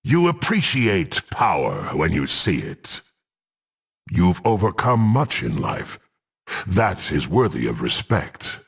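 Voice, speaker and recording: A brutal half-orc warrior from a fantasy game, contemptuous and hungry for power. The gravelly voice moves slowly but swings widely, dripping with haughty menace. The phrasing is archaic and formal. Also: male voice, low-pitched, wildly swooping pitch, full character voice. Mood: grim approval. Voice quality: gruff, gravelly. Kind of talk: dramatic fantasy game voice acting.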